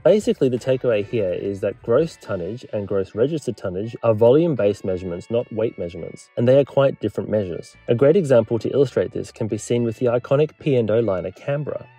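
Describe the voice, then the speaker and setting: A man, a maritime historian and lecturer, giving scripted explainer narration, clear and measured with a lightly wry touch. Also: mid-range voice, expressive, warm tone, soft background music.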